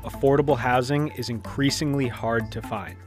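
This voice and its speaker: A man reading scripted news narration in a measured, even way. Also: mid-range voice. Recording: clip with music underneath. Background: soft music.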